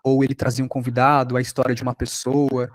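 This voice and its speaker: A man's voice: medium pitch, completely flat delivery, quick pace, no echo. A man with a Brazilian accent giving an informal online lecture as a workshop instructor.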